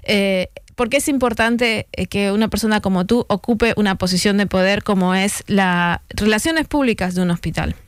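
A woman interviewer speaks in a crisp, resonant voice. She is fluent and engaged, conversational in her questions and affirming toward her guest. The sound is dry, with very little room around her. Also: medium pitch, normal speed, hardly any pauses, no echo. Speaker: interviewer, host.

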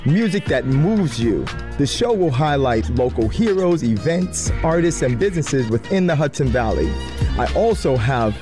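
A man's voice: a little high, very animated, a little slow, few pauses. A man hosting a music radio show, reading an upbeat promotional spot. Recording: music bed under voice.

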